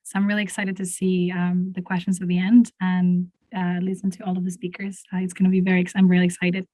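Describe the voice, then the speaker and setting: A woman's voice: on the low side, monotone, fast talker, mellow, dry recording. A woman from Venezuela with a Venezuelan accent, speaking on an online panel about mentoring while she has a cold, enthusiastic with frequent restarts. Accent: Venezuelan Spanish.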